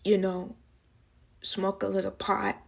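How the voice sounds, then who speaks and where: Woman acting as a traumatized young waitress, real slow and halting, pretty flat pitch, dramatic monologue. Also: creaky. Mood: uneasy, halting. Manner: halting, slow, broken phrasing. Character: traumatized young working-class waitress recounting an assault. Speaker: actress performing a film monologue.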